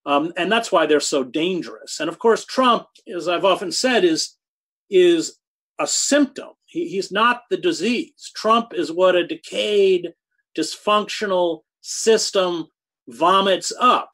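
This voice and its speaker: A man in his sixties answering interview questions over a video call from a home study, speaking thoughtfully with halting restarts and hard emphasis on key words. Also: fairly high pitch, fairly bright tone. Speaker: guest, journalist. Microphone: video call webcam.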